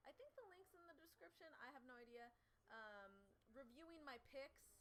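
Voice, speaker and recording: A woman with a slightly raspy voice chatting casually and playfully in slang as a live stream host, full of exclamations. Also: high voice, lively intonation, brisk, fairly bright tone, slight background noise. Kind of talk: casual livestream chat.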